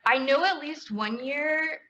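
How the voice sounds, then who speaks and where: A woman's voice: high-pitched, takes it slowly. Chatty woman on a noisy, dull recording. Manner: chatty, informal, frequent "like". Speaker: theater company performer on a discussion panel. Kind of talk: casual audience Q&A. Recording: dull room recording with clear background noise.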